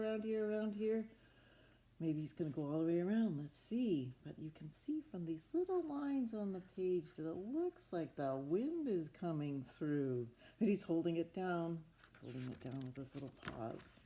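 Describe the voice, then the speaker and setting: A woman's voice: fairly low pitch, lively intonation, normal speed, mellow. A woman improvising a story from a picture book, talking expressively and with wonder, as if to young children. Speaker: storybook narrator.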